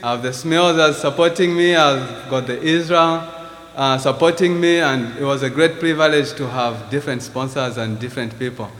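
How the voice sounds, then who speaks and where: A man's voice: mid-range voice, normal speed, a bit bright, long reverb tail. A man from Zambia with a Zambian accent, preaching a sermon in church and reading scripture earnestly. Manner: earnest, reads scripture with rising emphasis. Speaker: visiting preacher.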